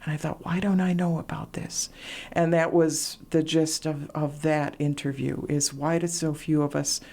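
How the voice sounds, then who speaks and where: A middle-aged woman speaks in a low, mellow voice. She shares stories in a reflective, conversational way, pausing on fillers now and then. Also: flat delivery. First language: Latvian. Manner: warm storytelling, reflective, frequent fillers. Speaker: radio show host, interview guest. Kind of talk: casual interview chat.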